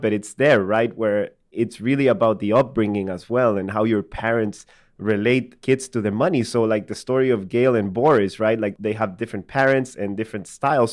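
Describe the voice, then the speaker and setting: A man hosts a conversational interview at a medium pitch. He is informal, framing his questions loosely and checking in as he goes. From El Salvador. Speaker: podcast host, interviewer.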